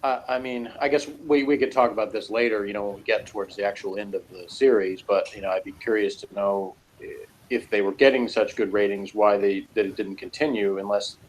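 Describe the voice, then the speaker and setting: A man with a fairly low voice in casual conversation. He rambles a little and hesitates as he works through his thoughts, like a co-host on a discussion show. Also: fairly even intonation, a little fast, slight background noise, poor audio. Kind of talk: casual discussion of TV and personality types.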